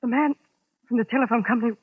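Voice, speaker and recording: An actress playing a nervous, hesitant young secretary in a 1940s radio suspense drama. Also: female voice, a little high, fairly even intonation, fast, breathy edge, no echo. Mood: anxious. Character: anxious young female secretary caught in a mystery. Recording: muffled old radio drama, phone-like, dry.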